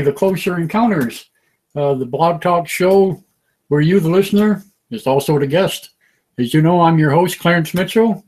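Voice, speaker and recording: Host voice: older man, raspy and slightly high-pitched, loose and unscripted with lots of "uh"s. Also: darkish tone. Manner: easygoing, many filler "uh"s, chuckles. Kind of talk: call-in radio show hosting.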